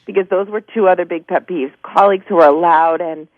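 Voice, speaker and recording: A woman's voice: fairly low pitch, fairly quick, no echo. A woman guest chatting casually on the radio over a phone, opinionated and emphatic. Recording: narrow phone-like line, dry.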